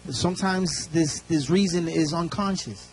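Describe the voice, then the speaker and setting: A man's voice: a little high, a little hiss or hum. Man interpreting a sermon phrase by phrase. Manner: quick, restarting, echoes the speaker phrase by phrase. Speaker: church interpreter.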